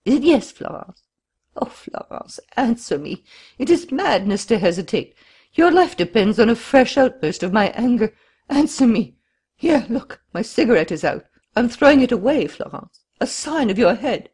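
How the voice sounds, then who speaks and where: A woman voicing a murderous crippled man who lurches between frantic pleading and furious shouting in an audiobook. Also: a little high, big pitch swings, moderate pace, husky, pitched above the performer's own voice, heavily acted. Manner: wild swings from pleading to shouting.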